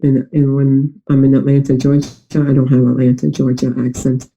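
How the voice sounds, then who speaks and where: A woman from Russia with a New York-sounding American accent, a bit nasal from a recent cold, speaking earnestly with many pauses and fillers in a video-call interview. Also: a bass voice, completely flat delivery, gravelly, warm tone, poor audio. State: slightly nasal from recent illness. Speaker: interview guest, adoptee.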